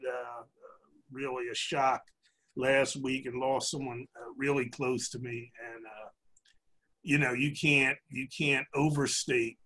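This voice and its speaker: A middle-aged man hosting a casual interview on a video call from home. His voice runs a little high for a man, and he asks his questions in a soft, relaxed way. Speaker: host. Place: home room with wood paneling.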